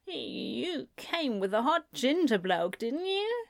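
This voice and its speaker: A woman's voice: high-pitched, very animated, slow, creaky, dry recording, clean studio sound, pitched above the performer's own voice, full character voice. A woman voicing a tipsy young man chatting loosely after a party.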